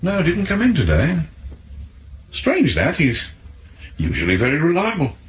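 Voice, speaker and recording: A man's voice: fairly high pitch, wildly swooping pitch, unhurried. An actor playing a defensive, self-assured garage man in a 1970s radio drama, emphatic, with swooping intonation. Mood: wary. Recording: roomy, dull, noisy old radio recording.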